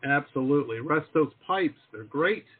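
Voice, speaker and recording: A man in casual host mode, teasing and chatty. His voice sits a little high and swings widely in pitch, with a slightly husky edge. The audio is thin and phone-like. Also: expressive, normal speed. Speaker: podcast host. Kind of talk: casual interview chat. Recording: tinny phone-like call audio.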